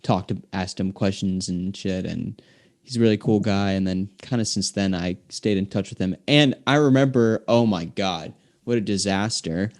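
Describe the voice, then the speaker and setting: A man's voice: deep voice, normal speed, darkish tone. A young man, a singer-songwriter guest, chatting casually and telling long, rambling stories packed with filler words. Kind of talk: casual chat.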